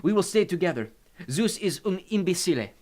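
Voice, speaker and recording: Storybook casting: devoted Italian-accented mother, higher-pitched, gentle but stubborn, played by a man. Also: sing-song, brisk. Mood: firm, loving.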